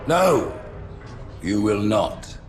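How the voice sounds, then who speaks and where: Low-voiced, solemn alien prophet. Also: male voice, very animated, a little hiss or hum, heavily acted. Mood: stern, condemning. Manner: stately, ceremonious, deliberate. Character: solemn, commanding alien high prophet passing judgment. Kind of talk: dramatic game cutscene acting. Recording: music behind the voice. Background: clear music.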